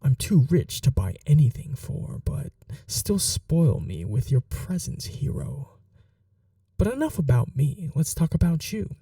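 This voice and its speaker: Guy doing a flirty yandere villain for asmr, super soft dark mellow voice, lively pitch, chatty but kinda menacing, bit far from the mic. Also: measured pace, no room sound, exaggerated voice. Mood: smitten, vain, then attentive, indignant. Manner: flirtatious, casually menacing, musing aloud. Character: playful, smitten yandere male supervillain, chatty and vain. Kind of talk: ASMR roleplay monologue to the listener.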